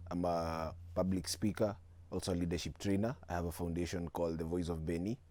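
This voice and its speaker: A man's voice: deep voice, steady tone, warm tone, dry recording. A nineteen-year-old man with a Kenyan accent, a guest speaking earnestly and steadily in a studio interview. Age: teens. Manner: earnest, measured, mixing in Swahili slang.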